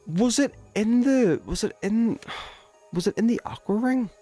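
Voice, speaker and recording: A man's voice: high voice, a little slow, mellow, faint music behind. A man chatting casually on a game livestream, thinking aloud, questioning himself and trailing off in informal, slangy speech. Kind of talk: casual livestream gameplay chat.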